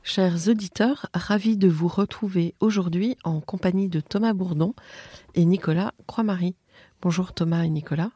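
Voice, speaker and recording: A woman's voice: lowish voice, normal speed, dark tone, breathy edge, no echo, faint music behind. A French woman hosting an interview podcast, asking questions with frequent hesitations. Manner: hesitant, many fillers. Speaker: podcast host.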